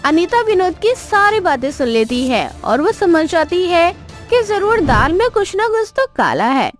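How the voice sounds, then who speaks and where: A woman's voice: extremely high pitch, very animated, measured pace, soft background music. A woman narrating a scripted dramatic moral story as its storyteller.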